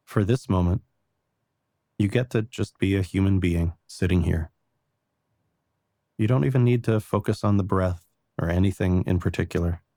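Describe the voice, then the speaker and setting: A man guiding a meditation in a calm, gentle and unhurried way, reassuring the listener with soft spoken instructions. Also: low voice, no echo, studio quality. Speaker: meditation guide.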